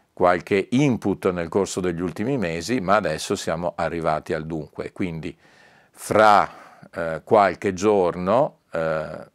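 A low-pitched man delivers an informal promotional announcement. He is emphatic and deliberate, often repeating a key word to underline it. The sound is dry and close, with very little room. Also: no room sound. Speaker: record label owner presenting news.

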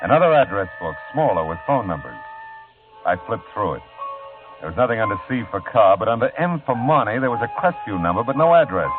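A wry, easygoing private eye, narrating his case in a deep voice that moves a lot in pitch. The hard-boiled delivery stays laid-back. It is an old radio broadcast, tinny and phone-like, with clear music behind. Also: big pitch swings, brisk. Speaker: narrator and lead character. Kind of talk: 1950s radio drama acting.

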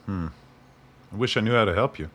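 An actor playing a calm safehouse keeper who questions a visitor carefully. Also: male voice, on the low side, a little fast. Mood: probing. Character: calm, probing man running a safehouse. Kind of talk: radio play acting.

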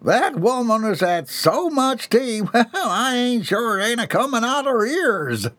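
A man's voice: extremely high pitch, very animated, a little slow, a bit bright, dry recording, voice pushed up, exaggerated voice. A man voicing a brash, folksy country man who drawls in slang. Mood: jovial.

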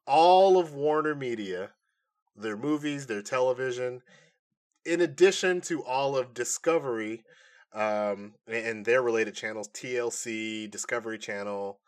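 Man, mid-pitched voice, podcast host, casual chat, animated, informal explainer. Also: unhurried.

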